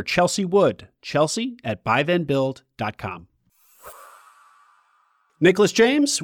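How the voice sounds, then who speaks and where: Lively podcast host, dry close sound. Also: male voice, a little high, dry recording. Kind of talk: scripted podcast intro and interview.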